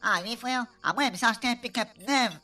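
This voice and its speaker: A woman's voice: fairly high pitch, fast, sharp tone. A woman playing a brash, dim-witted man with a Réunion Creole accent, blustery and clowning in a comic radio serial. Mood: boastful.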